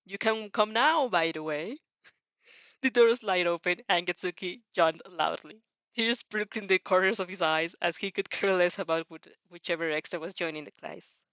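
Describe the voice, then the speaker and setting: A woman's voice: fairly low pitch, normal speed, a bit bright, no room sound, lo-fi sound. A woman narrating fan fiction dramatically, often stumbling and rephrasing her lines as she reads. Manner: repeats and rephrases phrases.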